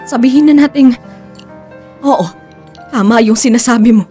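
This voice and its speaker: Conflicted wife played by a woman, highish mellow voice, radio drama, music behind. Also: moderate pace, natural voice. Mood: guarded, reproachful. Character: conflicted wife torn between family and lover.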